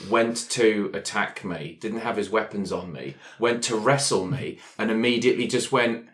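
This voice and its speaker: A man's voice: lowish voice, a little slow, fairly bright tone. A man talking casually and telling improvised story ideas in a tabletop roleplaying group, with a playful, wry manner.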